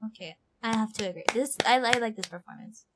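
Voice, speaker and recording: A woman streaming a game, giving casual live reaction commentary in an animated, exaggerating manner. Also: a little high, a little fast, very noisy. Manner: animated, mimics lines with exaggeration.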